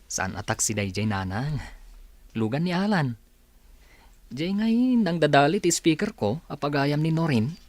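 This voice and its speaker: A man's voice: on the high side, very animated, measured pace, long pauses, darkish tone, no room sound. A man playing a grateful, respectful young villager in an Ilocano radio comedy drama. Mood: respectful, explaining. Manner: polite, respectful to his elders. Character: grateful young man Walek, riding home late.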